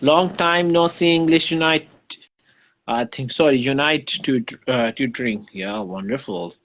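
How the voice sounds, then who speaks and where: A man's voice: mid-range voice, measured pace, fairly bright tone. A man with a Pakistani accent hosting a casual livestream interview in an effusive, repetitive, wordy way. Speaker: live stream host.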